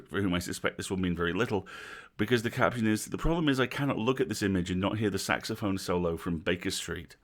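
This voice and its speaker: A British man with a wry, dry delivery, hosting a stream and reading a written review aloud with casual asides. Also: sits low. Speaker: host of a livestream reading series.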